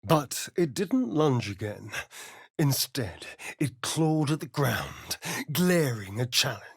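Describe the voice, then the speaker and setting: A man's voice: mid-range voice, big pitch swings, slow, vocal fry, slightly rough, no room sound, studio quality, full character voice. A man voicing a suave, sardonic vampire rogue in a fantasy video game, with an arch, theatrical, dramatic delivery. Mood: measured, brooding.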